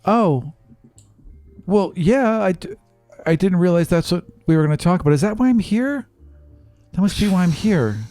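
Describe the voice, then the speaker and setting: A man plays a little girl from a dream, pitched up and lightly teasing. The child speaks breezily and cryptically, as if casual about godly matters. The tabletop game recording is dry, with faint music. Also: animated, moderate pace, dark tone, no echo. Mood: breezy, cryptic.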